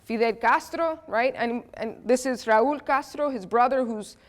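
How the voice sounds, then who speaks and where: Stuffy-nosed professor, woman, slightly high voice, chatty lecture style, quizzes the room. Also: measured pace. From New Jersey, United States. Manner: chatty, asks the audience questions, frequent "uh" and "um". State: stuffy nose from allergies. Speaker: history professor giving a guest lecture. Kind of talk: interactive classroom lecture. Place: classroom.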